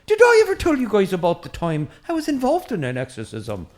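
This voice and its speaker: A woman voicing a chatty, excitable Irish priest, a short man who rambles eagerly in an Irish accent in a comic audio drama. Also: medium pitch, brisk. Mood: rambling, curious. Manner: rambling, eager, easily distracted.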